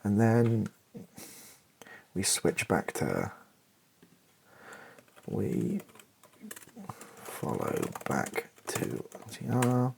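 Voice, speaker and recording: A man's voice: fairly low pitch, wildly swooping pitch, drawn-out words, gravelly, pauses often, a little mellow, a little hiss or hum. A British man thinking aloud in an ASMR style as he writes, tentative, wry and self-mocking. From Britain. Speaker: ASMR video creator. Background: keyboard typing and clicks.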